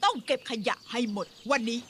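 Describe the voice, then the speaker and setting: A woman's voice: a little fast, fairly bright tone, a little hiss or hum, natural voice. A woman voicing a bossy cartoon animal lady. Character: bossy, skeptical female animal.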